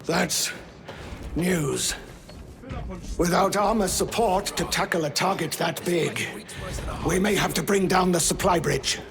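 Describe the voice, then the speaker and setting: A man's voice: sits high, slow, gravelly, long pauses, music in the background, heavily acted. An actor playing a gritty guard officer, deferential to his superiors and barking orders at his troops.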